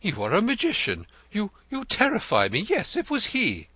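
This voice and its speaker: A man's voice: high voice, wildly swooping pitch, moderate pace, pushed and bright, husky, no echo, voice pushed up, exaggerated voice. A man voicing a vain, theatrical male poet in an audiobook, florid and gushing, stammering when pressed. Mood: effusive. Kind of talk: audiobook character reading.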